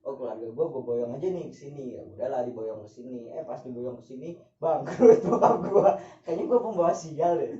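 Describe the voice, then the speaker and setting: Mellow-voiced young man in a chatty, joking mood. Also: medium pitch, animated, normal speed, few pauses. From Java, Indonesia. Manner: joking, laughs often, slangy. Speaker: guest. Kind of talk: casual chat with some singing. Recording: echoey, distant, dull room sound.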